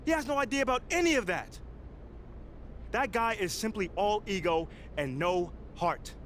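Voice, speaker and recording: A proud young American pro ballplayer in a video game story. His fairly high voice moves freely up and down as he talks in an earnest, emphatic, colloquial way. There is clear noise behind him. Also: expressive, normal speed, a bit bright. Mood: fired up, indignant. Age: young adult. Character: driven, proud young male pro basketball player. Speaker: video game actor playing the lead character. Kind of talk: naturalistic cutscene acting, impassioned speech.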